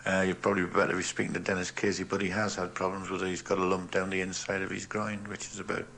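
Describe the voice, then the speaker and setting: A man's voice: sits low, flat delivery, fast, creaky, fairly bright tone, a little hiss or hum. A man answering interview questions in a hesitant, matter-of-fact way. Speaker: radio interviewee.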